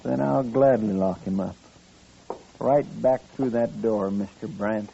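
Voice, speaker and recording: Creaky, folksy western jailhouse deputy. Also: male voice, fairly low pitch, measured pace, mellow. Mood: obliging. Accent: American. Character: folksy, deferential, eager lawman's assistant. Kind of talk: 1950s radio western acting. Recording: muffled noisy old radio recording.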